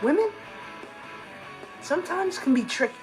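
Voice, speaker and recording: A man's voice: very high-pitched, expressive, normal speed. An actor playing an earnest, awkward teenage boy with an American accent, delivering theatrical comedic monologues. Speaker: sitcom lead. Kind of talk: scripted comedic monologue to camera. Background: music.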